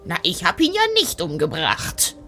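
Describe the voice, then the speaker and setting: A woman's voice: on the low side, huge pitch swings, moderate pace, bright, forceful tone, faint music behind. A woman improvising in character as an aunt in a tabletop role-playing game.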